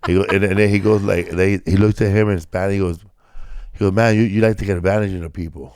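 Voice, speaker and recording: Deep-voiced man in casual movie chat. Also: fairly even intonation, fast, a little mellow, smooth, no echo, clean studio sound. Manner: mimics characters in Spanish, laid-back. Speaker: podcast co-host.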